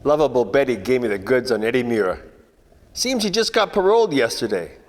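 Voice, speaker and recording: An actor plays a hard-boiled private detective from a 1940s radio play. He narrates in slangy, wisecracking fashion at an average male pitch, with plucked guitar music clearly behind him. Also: big pitch swings, a little fast, natural voice. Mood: wry, matter-of-fact. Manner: wry, slangy storytelling.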